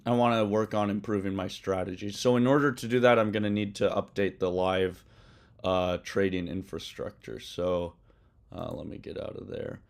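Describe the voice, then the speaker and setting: A lower-pitched man with a hoarse yet smooth, resonant voice, hosting a live coding stream from his desk. He talks loosely, filling pauses with ums and uhs and correcting himself mid-sentence. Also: steady tone. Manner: rambling, many ums and uhs, self-corrections. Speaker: livestream host coding a trading tool. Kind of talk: casual live-stream explanation.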